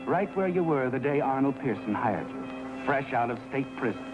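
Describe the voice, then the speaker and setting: Ominous omniscient crime narrator, male actor, American, mid-pitched, taunting and dramatic, vintage soundtrack with heavy music. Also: expressive, normal speed, dull audio, lightly acted. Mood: taunting, knowing. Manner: taunting, knowing, dramatic second-person address. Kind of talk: 1950s crime anthology narration. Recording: old 1950s soundtrack under loud music.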